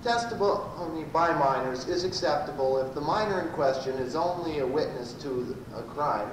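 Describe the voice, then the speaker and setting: A man playing a pompous, badgering prosecutor in an amateur radio comedy, with a stumbling, halting delivery. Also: a little high, close to an everyday voice. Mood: forceful. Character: pompous, badgering male prosecuting lawyer in sci-fi parody. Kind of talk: amateur old-time radio comedy acting. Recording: roomy, distant, noisy amateur live recording.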